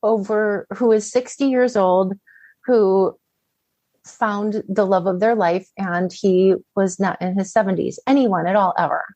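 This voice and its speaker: A woman's voice: mid-range voice, fairly even intonation, unhurried, a little mellow, dry recording. A middle-aged woman giving conversational coaching advice as an interview guest, often posing questions and acting out inner dialogue.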